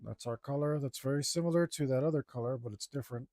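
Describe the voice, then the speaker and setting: A man talking casually to himself as he works on a digital drawing, mumbling in a relaxed way. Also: mid-range voice, fast talker, no echo, studio quality. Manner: mumbling to himself, laid-back. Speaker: digital artist. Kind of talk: casual talk while drawing.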